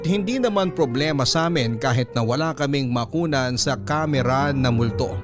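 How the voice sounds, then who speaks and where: A man plays a young male vlogger narrating his own story in a radio drama. His mid-pitched voice tells it in the first person over clearly heard music. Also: lightly acted.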